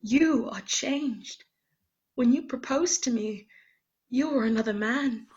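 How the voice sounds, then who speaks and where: A calm, sorrowful young spirit. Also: female voice, on the high side, lo-fi sound. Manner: calm, measured, gently reproachful. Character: Christmas past spirit who speaks as Belle, young woman, calm and sorrowful. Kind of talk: radio play acting.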